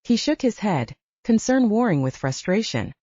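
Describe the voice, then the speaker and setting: Woman, audiobook narrator, animated delivery, dry dull lo-fi sound. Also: medium pitch, normal speed, dry recording. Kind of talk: first-person audiobook narration.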